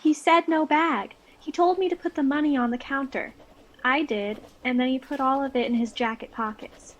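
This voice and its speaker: Woman acting a dreamy bank teller, high voice, earnest, musing, faint noise, audio drama. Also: moderate pace, highs cut off, natural voice.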